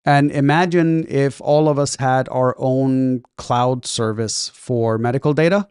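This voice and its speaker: A man's voice: medium pitch, fairly even intonation, a little slow, a little mellow, clear, resonant tone, dry recording, clean studio sound. A man co-hosting a casual business podcast, talking chattily and ending his points with "right?". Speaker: podcast co-host.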